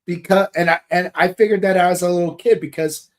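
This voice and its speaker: A man co-hosting a podcast, chatting casually and joking as he rambles and thinks out loud. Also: high-pitched, fairly even intonation, brisk. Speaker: podcast co-host. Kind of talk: casual chat about a TV series.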